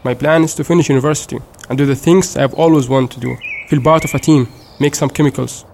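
A young man from Syria, speaking in an earnest, measured way as the one being asked questions. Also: medium pitch, steady tone, vocal fry, darkish tone, a little hiss or hum. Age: young adult. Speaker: interviewee.

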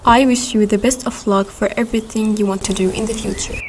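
A woman's voice: mid-range voice, fairly even intonation, brisk, darkish tone, slight background noise. Friendly teenage girl asking questions. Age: 10s. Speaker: interviewer.

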